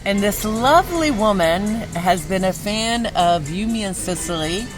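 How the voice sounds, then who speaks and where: A woman's voice: mid-range voice, lively intonation, measured pace, faint music behind, low-quality recording. A woman hosting a travel show, interviewing people in a friendly, upbeat way. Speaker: show host.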